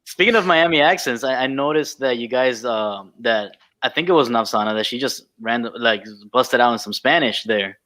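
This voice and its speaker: Man, bright forward voice, medium pitch, casual podcast host. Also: moderate pace, few pauses.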